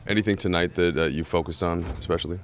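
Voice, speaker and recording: A male reporter asking postgame questions in a locker room, with measured questions and small restarts. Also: sits low, steady tone.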